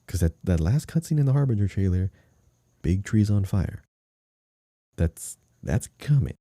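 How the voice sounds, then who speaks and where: A man with a deep and extremely mellow, dark voice, chatting casually as a gaming podcast co-host. The sound is dry and close, with no room echo. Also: expressive, measured pace.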